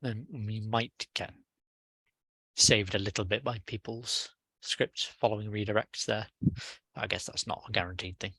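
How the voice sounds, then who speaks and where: A man's voice: fairly low pitch, fairly even intonation, measured pace, bright, forceful tone, slightly rough, dry recording. A man chairing an online technical meeting in casual, conversational talk. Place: online conference call.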